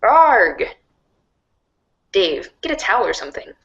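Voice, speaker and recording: Woman, mid-range voice, highly animated intonation, storybook narration, reading aloud. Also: unhurried, highs cut off. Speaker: narrator in a webcomic reader's theater.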